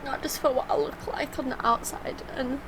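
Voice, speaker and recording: Woman, fairly high voice, noticeable creak, emotional, heartfelt rambling vlog talk, noisy background. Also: steady tone, moderate pace. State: emotional, tearful. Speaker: reaction vlogger. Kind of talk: personal, casual reaction talk.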